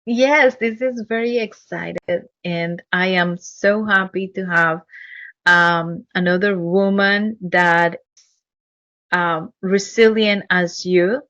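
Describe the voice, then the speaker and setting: A woman hosting a podcast, reading a guest introduction and asking questions in a measured, enthusiastic way, with a non-native English accent. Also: fairly low pitch, fairly even intonation, fairly bright tone, no room sound, highs cut off. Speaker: podcast host. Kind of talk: reading an introduction, then interviewing.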